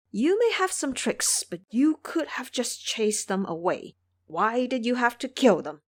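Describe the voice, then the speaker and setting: A woman playing a pious male Buddhist monk, an earnest master who admonishes and preaches in an audio drama. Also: fairly high pitch.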